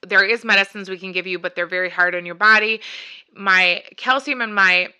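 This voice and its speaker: A woman's voice: mid-range voice, steady tone, moderate pace, sharp tone, clean tone. A woman vlogger telling a personal story in a casual, chatty, rambling way, voicing both sides of conversations as she goes. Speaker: vlogger sharing a personal health journey.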